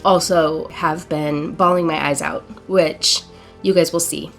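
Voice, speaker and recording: A woman's voice: sits low, fairly even intonation, unhurried, a bit bright, soft background music. A woman in her forties, still congested from an illness, talking earnestly and emotionally about a book in a casual reading vlog, with fillers and self-corrections. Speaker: book vlogger.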